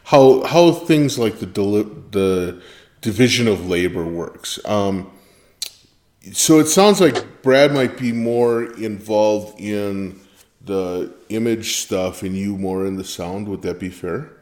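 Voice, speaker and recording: Low-voiced male podcast host, echoey room. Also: takes it slowly, darkish tone. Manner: curious, conversational questioning. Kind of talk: casual interview.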